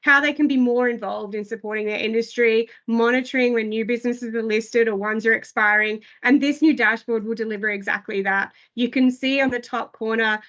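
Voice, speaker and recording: A woman with a fairly high, slightly hoarse voice walks listeners through new platform features. She talks in loose, hesitant runs, leaning on "I guess" and "um". The sound is dull and lo-fi, as over a video call. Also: fairly even intonation, brisk, hardly any pauses, fairly bright tone. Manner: filler-heavy, frequent "I guess" and "um". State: losing her voice. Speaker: product presenter. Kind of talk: online product briefing.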